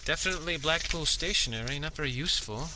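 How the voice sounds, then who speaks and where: A man's voice: fairly high pitch, quick pace, background noise, close to an everyday voice. A man playing a wry, chatty, inquisitive goblin sidekick with keen senses in an audio drama. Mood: curious.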